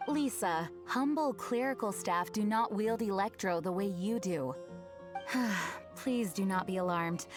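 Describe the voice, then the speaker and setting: A woman's voice: mid-range voice, measured pace, over music, lightly acted. A woman playing an earnest, stern young knight leader in a video game, formal, composed and firm.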